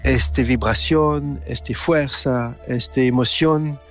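A man's voice: medium pitch, fairly even intonation. Swiss guy, stumbling over words a lot, muffled lo-fi call audio, faint music under him. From Switzerland. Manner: halting non-native speech with many restarts. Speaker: guest filmmaker. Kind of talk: remote interview.

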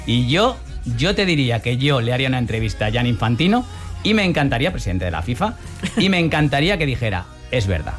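A man's voice: mid-range voice, sing-song, quick pace, soft background music. A man with an accent from Spain bantering ironically as a panellist on a talk show. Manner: ironic, mocking.

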